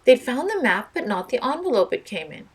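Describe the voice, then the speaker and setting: A woman's voice: a little high, very animated, a little fast, slightly rough. A woman narrating a children's mystery storybook as a read-aloud storyteller.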